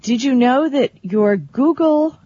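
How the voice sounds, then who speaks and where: Chatty, slightly husky woman co-hosting radio. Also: high voice, lively intonation, takes it slowly, warm tone, dry recording, highs cut off. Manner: chatty, informal. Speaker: radio co-host. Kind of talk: casual tech talk.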